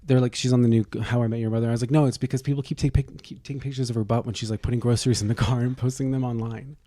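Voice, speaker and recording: A man's voice: mid-range voice, flat delivery, rapid-fire, mellow, no room sound, studio quality. A man co-hosting a podcast, bantering casually with an irreverent, gossipy, joking manner. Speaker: podcast co-host.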